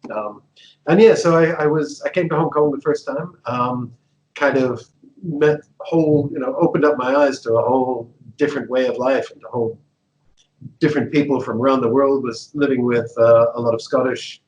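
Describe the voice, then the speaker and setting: A man's voice: mid-range voice, moderate pace, a little mellow, highs cut off. Chatty American man telling stories. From United States. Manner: chatty, laughs often, many fillers and restarts. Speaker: guest. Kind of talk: casual interview storytelling.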